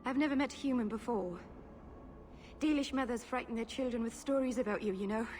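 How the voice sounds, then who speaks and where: A woman's voice: on the high side, a little fast, a little mellow, a little hiss or hum, lightly acted. A woman playing a young elven mage in a fantasy video game, nervous and earnest, rambling and correcting herself apologetically. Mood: nervous, evasive. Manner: rambling, hesitant, self-correcting.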